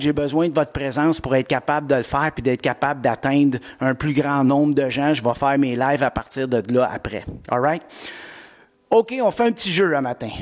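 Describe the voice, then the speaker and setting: A middle-aged man with a Québécois accent, coaching a live-streamed workout class and greeting viewers by name with lots of energy. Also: a little high. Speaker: fitness coach.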